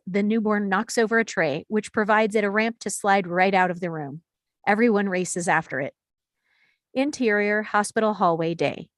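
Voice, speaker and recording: A woman's voice: mid-range voice, no room sound, clean studio sound. A woman reading stage directions as scripted narration at a screenplay table read.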